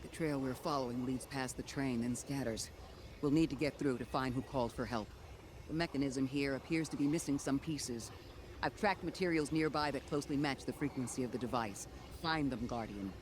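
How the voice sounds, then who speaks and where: An earnest, scholarly guide, played by a man briefing a soldier in a video game. The delivery is articulate and analytical with an urgent edge. Loud ambience and engine rumble sit behind the voice. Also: fairly quick, lightly acted. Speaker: mission guide. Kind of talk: scripted video game dialogue.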